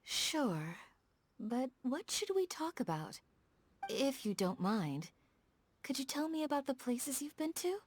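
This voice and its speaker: A woman voicing a gentle, dutiful young desert village guardian in a video game, speaking in a soft, measured, courteous way. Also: high-pitched, very animated, heavily acted. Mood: warm, reflective. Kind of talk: scripted game dialogue, storytelling.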